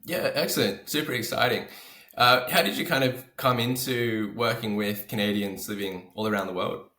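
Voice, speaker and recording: An Australian man hosting a finance podcast, reading a scripted intro and then chatting in a friendly, relaxed way. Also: lowish voice, normal speed. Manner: friendly, relaxed, with fillers and restarts when off script. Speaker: podcast host and financial advisor. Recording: roomy echo.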